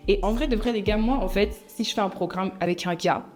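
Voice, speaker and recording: A young woman from Belgium, telling a personal story in a chatty, animated way and slipping in English phrases. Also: mid-range voice, a little fast, faint music behind. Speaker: vlogger. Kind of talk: casual storytime monologue.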